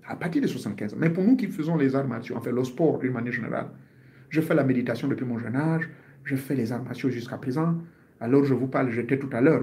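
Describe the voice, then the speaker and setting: A man's voice: medium pitch, dark tone. A man in his fifties, a martial artist, giving health advice to his viewers in an earnest, informal monologue, often repeating himself and searching for words. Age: 50s.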